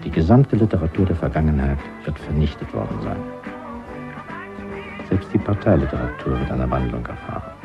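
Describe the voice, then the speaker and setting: An actor playing a zealous party intellectual in a radio drama, lecturing in a deliberate, emphatic way. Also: male voice, rumbling low, animated, creaky, full character voice. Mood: coldly fervent. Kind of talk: German radio drama acting. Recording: dull lo-fi radio recording, music behind.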